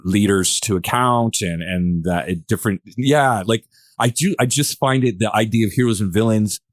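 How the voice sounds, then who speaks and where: A man's voice: lowish voice, normal speed, no echo, studio quality. A man hosting a podcast in casual discussion, rambling and searching for words as he thinks aloud. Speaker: podcast host.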